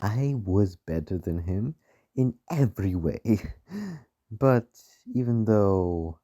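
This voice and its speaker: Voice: deep voice, dark tone, exaggerated voice. An amateur actor playing a smug, murderous rich man in a radio play, talking in a taunting, gloating way. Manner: slow, gloating, taunting, chuckling between phrases.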